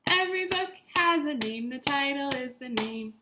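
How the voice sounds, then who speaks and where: A woman's voice: sits high, expressive, normal speed, very noisy. A woman teacher reading a story aloud to young children, with simple, instructive phrasing and repetition.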